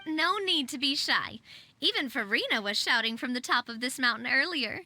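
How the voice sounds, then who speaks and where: A woman's voice: high voice, expressive, normal speed, sharp tone, heavily acted. A woman voicing a confident, outgoing and playful young lady boss in a video game, exuberant and friendly. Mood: enthusiastic, persuasive. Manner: exuberant, friendly, self-assured. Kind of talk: video game character dialogue.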